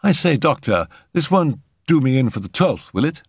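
A man's voice: mid-range voice, very animated, normal speed, slightly rough, no echo, studio quality, voice pushed up. A man voicing a bewildered, well-bred young Englishman in a storybook, boyish and a little weak.

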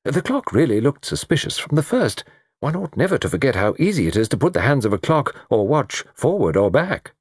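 A man voicing a fussy, excitable elderly British gentleman in a storybook, savouring his words theatrically. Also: mid-range voice, a little fast, husky, no room sound, clean studio sound, voice pushed up. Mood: musing.

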